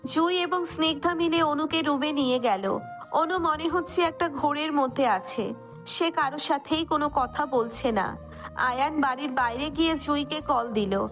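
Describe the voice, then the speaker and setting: A woman's voice: sits high, normal speed, fairly bright tone, soft background music. A woman narrator reading a scripted romantic story.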